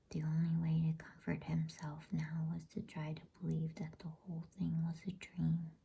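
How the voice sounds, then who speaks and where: A woman reading a bedtime storybook aloud in a soothing, unhurried ASMR whisper. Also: deep voice, gravelly, dark tone, slightly rough.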